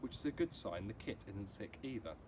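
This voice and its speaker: A man voicing a calm, patient mother and vet in a children's storybook, explaining things gently. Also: lowish voice, a little fast, lightly acted. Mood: regretful. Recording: clearly noisy amateur recording.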